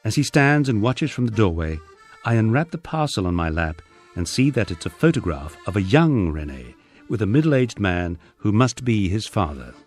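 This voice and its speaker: A man with a low voice reads a first-person memoir, his pitch rising and falling expressively as he tells it with dry humor. Faint music plays softly behind him. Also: sing-song, normal speed. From England. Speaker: audiobook narrator.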